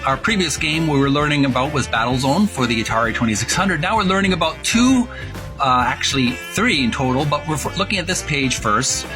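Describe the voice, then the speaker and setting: A man hosting informal video commentary, halting as he goes and drawing out key words for emphasis. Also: a little high, lively intonation, over music. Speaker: host of a retro gaming channel. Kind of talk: informal video commentary with read-aloud passages.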